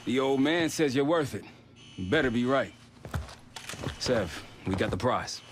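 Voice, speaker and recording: An actor playing a gutsy, wry covert operative in a video game, speaking casually and confidently. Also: male voice, medium pitch, animated, a little slow, noisy background, lightly acted. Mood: grim, focused. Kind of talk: cinematic video game acting.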